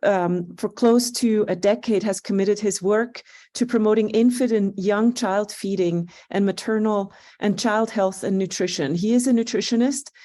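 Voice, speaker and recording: A woman moderates an online session and introduces the next presenter. She speaks in a mellow tone, pausing on frequent fillers over a video call. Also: medium pitch. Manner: halting, with many fillers.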